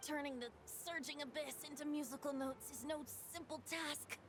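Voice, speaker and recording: A woman plays a youthful, boyish bard from a video game in a high, light voice. The delivery is lyrical and theatrical, resolute underneath, with music clearly behind it. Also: fairly even intonation, moderate pace, natural voice.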